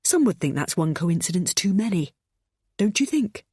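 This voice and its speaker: A woman's voice: fairly low pitch, big pitch swings, moderate pace, darkish tone, slightly rough, dry recording, studio quality. Woman doing a sneering controlling man. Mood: defiant. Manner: defiant, barking.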